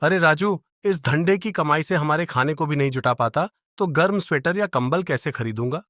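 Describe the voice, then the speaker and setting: Guy doing a poor tired woodcutter dad from a cartoon story, highish voice, plaintive, bit of music behind. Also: moderate pace, fairly bright tone, no room sound, close to an everyday voice. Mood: dejected. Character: poor, weary, kind woodcutter, family man. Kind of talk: cartoon moral story dialogue.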